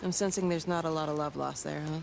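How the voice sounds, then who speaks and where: Teasing independent woman, lots of vocal fry, game drama, bit of background noise. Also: deep voice, fairly even intonation, fast, darkish tone. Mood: wry, curious. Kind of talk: video game drama acting.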